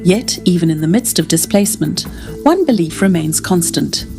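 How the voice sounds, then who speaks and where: A woman's voice: lowish voice, moderate pace, music in the background. A woman reading polished, scripted documentary narration. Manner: even, polished voice-over reading.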